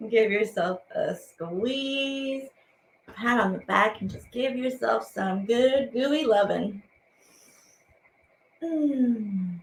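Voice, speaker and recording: High-pitched woman leading a playful kids' lesson. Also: extremely slow, vocal fry, long pauses, a little hiss or hum, poor audio. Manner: playful, encouraging, simple phrasing for children. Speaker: teaching artist hosting a children's program. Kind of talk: children's activity lesson, casual and direct to viewers.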